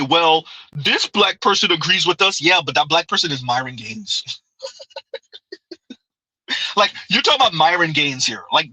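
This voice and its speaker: A man's voice: a little high, sharp tone, breathy edge, no room sound, rough recording. A man hosting a live stream, reading viewer comments aloud and answering them in loose, conversational commentary that keeps circling back with "right?" and frequent laughter.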